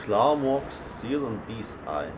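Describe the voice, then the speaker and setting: A man's voice: medium pitch, big pitch swings, takes it slowly, noisy background. A man giving casual playthrough commentary on a fantasy game, with short informal reactions and reading of on-screen text. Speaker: gamer playing through a fantasy game. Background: game music.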